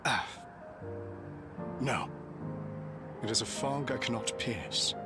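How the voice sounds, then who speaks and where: An actor playing a noble, grieving knight in a fantasy game, with formal archaic diction and an emotional, halting delivery. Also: male voice, mid-range voice, loud music behind the voice, lightly acted. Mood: bewildered, searching. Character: noble, grieving old-fashioned knight, earnest and courtly. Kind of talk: theatrical fantasy game voice acting.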